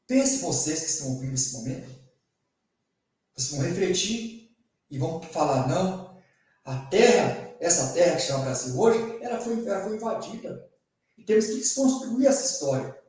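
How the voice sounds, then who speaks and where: A man's voice: high voice, lively intonation, moderate pace, a little mellow, far from the mic. Earnest São male guest, slightly raised voice, heard from off-mic in an echoey room. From São Paulo state, Brazil. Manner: earnest, rhetorical, frequent "né" tags. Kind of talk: interview talk.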